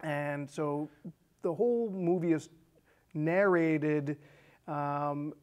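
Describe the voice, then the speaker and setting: American male guest, thirties, slightly high voice, casual conversational delivery. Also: very slow. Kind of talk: casual chat. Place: small indoor studio set with curtains. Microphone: lapel.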